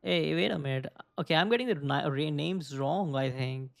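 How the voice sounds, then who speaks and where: Gravelly young man rambling over gameplay. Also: on the high side, moderate pace, dry recording, studio quality. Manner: rambling, thinks aloud with many "like" fillers. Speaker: gaming video creator. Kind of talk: casual let's play commentary.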